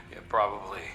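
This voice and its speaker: A man's voice: pushed and bright, a little hiss or hum, full character voice, low-quality recording. An actor playing a guarded, self-doubting man in video game dialogue, hesitant and conversational.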